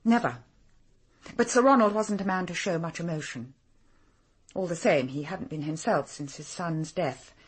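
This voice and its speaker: A composed, superior female secretary in a British radio drama. Her English voice is fairly low with a husky grain, and her phrasing is formal and clipped. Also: normal speed. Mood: grave, measured. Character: cool, brisk, haughty female secretary to a powerful man.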